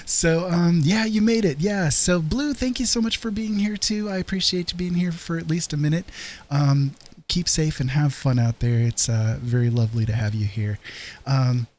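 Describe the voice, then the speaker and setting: A man's voice: a little high, moderate pace, a little mellow. An American man hosting a livestream, chatting casually and reassuringly to viewers in a friendly, rambling way. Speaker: live streamer host.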